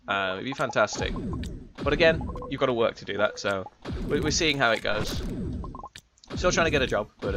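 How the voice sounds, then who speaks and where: A young man with a slightly raspy voice, chatting in a rambling, laid-back way while streaming a game. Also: mid-range voice, noisy background. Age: young adult. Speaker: gaming streamer. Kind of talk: casual chat while playing. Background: game music.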